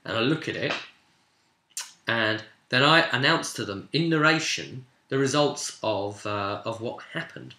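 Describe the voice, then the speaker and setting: A British man casually recounting a tabletop game as its game master, in an animated storytelling way. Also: medium pitch, measured pace. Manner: animated, acts out players' remarks in quotes. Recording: roomy, echoing room sound.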